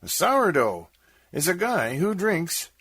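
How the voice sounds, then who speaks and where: A man plays a scheming frontier deacon from comic storytelling verse, orating grandly. The pitch is lifted high and swoops dramatically. His pompous proclamations come with a slightly husky edge. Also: huge pitch swings, slow, a bit bright, no echo, exaggerated voice. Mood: grandly proclaiming.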